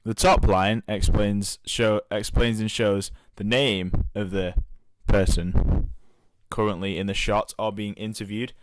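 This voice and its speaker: A young man, a media student, reading out an evaluation commentary on his documentary, steady with small restarts. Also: on the low side, a little slow, dry recording, poor audio.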